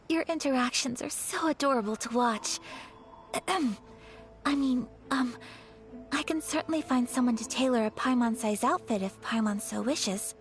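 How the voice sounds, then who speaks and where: High-voiced, refined young noblewoman. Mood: gentle, wistful. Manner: formal, measured, courteous. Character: refined young noblewoman, polite, earnest, gently reserved. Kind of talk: video game voice acting. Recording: game music behind the voice.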